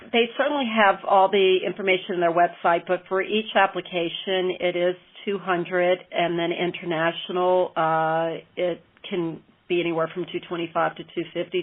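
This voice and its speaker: A woman explaining a workplace program informally on a conference call, with measured, hesitant phrasing. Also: fairly low pitch. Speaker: corporate philanthropy staffer explaining a program. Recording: muffled, band-limited conference call line.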